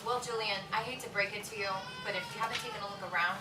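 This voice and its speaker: A woman's voice: mid-range voice, steady tone, rapid-fire, lightly acted. A woman playing a blunt, incredulous, scornful woman at a script table read. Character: blunt, mocking woman, a scornful reality check. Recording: echoey room, distant mic, loud noise.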